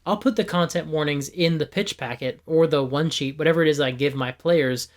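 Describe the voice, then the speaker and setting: A man hosting a tabletop game explainer, his voice slightly hoarse and a little gravelly. He talks in a fairly high register for a man, earnest and opinionated, and keeps leaning on examples to make each point. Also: a little fast. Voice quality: a bit gravelly. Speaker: tabletop game video host. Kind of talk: scripted explanatory video.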